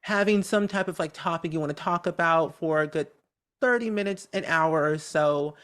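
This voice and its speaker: An upbeat, talkative content creator chatting casually as a podcast guest, with a naturally high voice that has a medium tone. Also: moderate pace, a bit bright. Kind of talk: casual interview chat.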